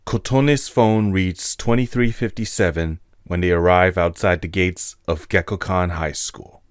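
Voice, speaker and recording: A man's voice: deep voice, a little slow, clear, resonant tone, no room sound, studio quality. A man narrating a scripted story as the narrator of an audio drama.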